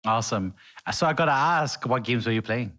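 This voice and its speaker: A man's voice: medium pitch, fairly quick, pushed and bright. A man hosting an interview podcast, asking curious, upbeat questions. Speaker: podcast host.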